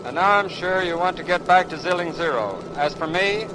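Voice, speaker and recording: Casting: male aviation expert, high-pitched voice, formal scripted 1930s radio speech, clearly noisy recording. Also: fairly quick.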